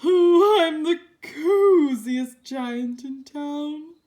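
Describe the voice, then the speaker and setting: Woman playing a high, sing-song storybook giant. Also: fairly even intonation, drawn-out words, darkish tone. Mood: delighted. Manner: sing-song, exclaiming, theatrical. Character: cheerful, kindly male storybook giant who sings.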